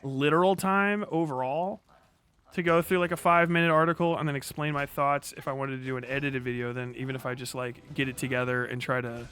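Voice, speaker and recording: A man's voice: medium pitch, fairly even intonation, fairly quick, bright, forceful tone. A man chatting casually on a livestream as he plays a video game, rambling in slangy, profane language. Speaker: live streamer.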